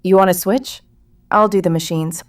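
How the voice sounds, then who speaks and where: Audio drama role: dry, laconic woman on the night register, played by an actress, lower voice, slightly distant. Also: moderate pace, lightly acted. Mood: flat, dry. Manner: deadpan, terse. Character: laconic, dry female night-shift cashier.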